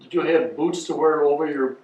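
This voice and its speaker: Guy in the audience asking a question, kinda high voice, echoey and far away. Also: fairly quick, a little mellow. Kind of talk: casual questioning. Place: indoor meeting room, among audience. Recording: distant mic, echoey room, faint noise.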